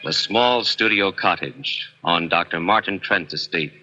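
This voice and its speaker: A man's voice: deep voice, unhurried, sharp tone. An American man announcing and narrating a 1940s suspense radio show in a solemn, ominous manner. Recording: dull 1940s radio recording. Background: music.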